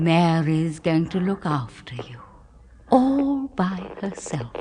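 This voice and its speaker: An actress playing a doting, quietly possessive British wife with a genteel, cooing manner in a 1970s radio horror drama. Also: female voice, fairly low pitch, exaggerated voice. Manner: genteel, deliberate, cooing endearments. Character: softly doting, quietly possessive wife of middle age or older. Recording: reverberant, noisy old radio recording.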